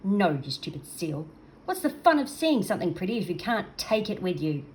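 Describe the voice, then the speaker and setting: A woman voicing a big, gruff, greedy male storybook creature with a growl and a blustering, drawn-out delivery. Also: mid-range voice, very animated, a little hiss or hum, natural voice, low-quality recording. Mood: raging, demanding.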